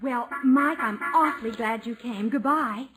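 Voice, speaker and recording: A woman's voice: on the high side, measured pace, lightly acted. A woman playing an affectionate, polite young married niece in 1950s radio comedy, on an old radio recording. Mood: friendly, hurried.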